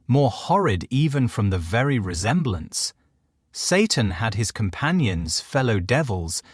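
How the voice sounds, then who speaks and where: A man's voice: medium pitch, dry recording, studio quality. A man voicing a wretched, lonely and bitter monster from a gothic storybook, with formal, anguished, dramatic phrasing.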